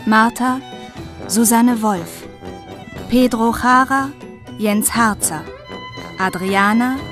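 A woman announcer reading scripted radio credits. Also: mid-range voice. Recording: studio announcement over clear music.

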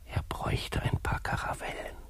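An actor playing a sanctimonious, conniving father confessor in a comic radio drama, hushed and unctuous. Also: moderate pace, in a whisper, lightly acted. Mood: sanctimonious, scheming.

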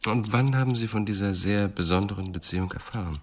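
An actor playing a composed, insistent police detective in a German radio crime drama, asking measured questions. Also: male voice, lowish voice, slight background noise, lightly acted. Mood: probing, insistent.

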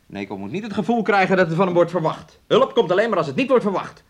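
Domineering, high-voiced, swooping scold. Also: male voice, big pitch swings, fast talker. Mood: reproachful, lecturing. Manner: talks of himself in the third person, nagging and coaxing. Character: domineering, scolding, self-absorbed man minding his sick lover. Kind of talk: Dutch radio play acting.

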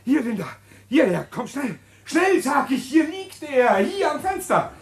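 A courteous, calculating husband in a radio crime drama. He talks in formal, carefully placed phrases with small polite hesitations, and his pitch moves in a lively way. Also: unhurried, heavy background noise, heavily acted. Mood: frantic. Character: smooth, scheming husband confessing a murder plot, outwardly courteous.

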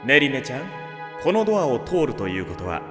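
A man playing a gentle, knowing fatherly figure in an anime audio drama, explaining in a measured way with echoing reverb. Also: mid-range voice, big pitch swings. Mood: solemn, gentle. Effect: heavy echo, long reverb. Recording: echoing long reverb over music.

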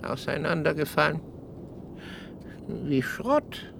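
An actor playing a stunned car owner, speaking haltingly in a creaky voice, in a German radio play. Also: male voice, fairly high pitch, lively intonation, background noise, exaggerated voice.

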